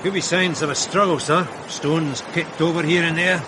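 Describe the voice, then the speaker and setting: Man playing a police sergeant, slightly high voice, deadpan, respectful, noisy radio drama recording. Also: normal speed, natural voice. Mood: observant. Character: dutiful, drily blunt male British police sergeant. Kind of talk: radio mystery drama acting.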